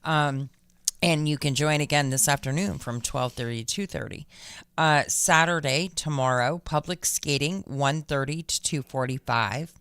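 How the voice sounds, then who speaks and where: A woman's voice: low-pitched, moderate pace, fairly bright tone, clean tone, no room sound. Upbeat woman reading local listings. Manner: upbeat, filler-heavy listing delivery. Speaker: radio show co-host. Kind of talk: casual radio chat, reading event listings.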